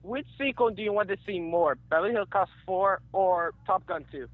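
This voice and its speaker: A man's voice: high-pitched, normal speed. A man calling in to a show, chatting casually over a muffled lo-fi line. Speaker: caller. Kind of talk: casual call-in chat.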